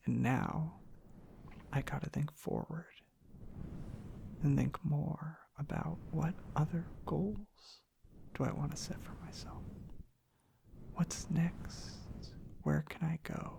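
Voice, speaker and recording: A man speaking in a soft, hushed, half-whispered ASMR ramble, breathy and gravelly, in unhurried halting phrases. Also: on the high side, fairly even intonation, noisy background. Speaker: ASMR content creator. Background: tapping and clicking sounds.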